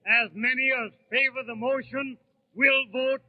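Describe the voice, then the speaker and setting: A man chairing a 1930s political convention, making formal, declamatory announcements in short deliberate phrases on a vintage radio recording. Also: very high voice, fairly even intonation. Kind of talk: formal parliamentary announcement from the podium. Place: large convention hall. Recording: thin, tinny 1930s radio broadcast recording.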